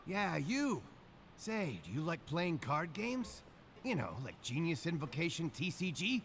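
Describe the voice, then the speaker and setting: Eager, animated, chatty inmate. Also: male voice, fairly high pitch, measured pace, slight background noise, exaggerated voice. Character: friendly talkative male inmate, keen card-game enthusiast. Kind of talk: video game dialogue acting. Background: game music.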